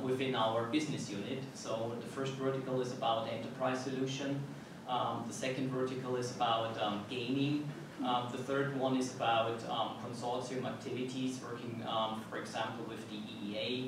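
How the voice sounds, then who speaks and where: Hesitant man, echoey hall. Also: medium pitch. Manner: hesitant, many "um" fillers, slightly nonstandard phrasing. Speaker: tech company executive on a panel. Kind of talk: panel self-introduction. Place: conference hall. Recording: echoey hall, distant mic, background noise.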